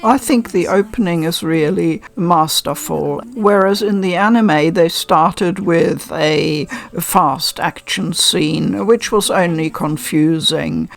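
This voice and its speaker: A woman giving a measured, thoughtful and appreciative review in a casual explanatory style. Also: fairly low pitch, gravelly, soft background music. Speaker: reviewer presenting a visual novel unboxing.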